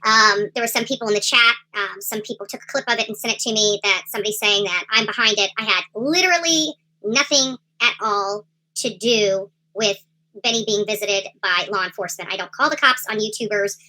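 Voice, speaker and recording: A woman hosting a livestream in a casual, rambling commentary style with halting delivery. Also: medium pitch, sharp tone, poor audio. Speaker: livestream host. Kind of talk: casual livestream chat, true crime commentary.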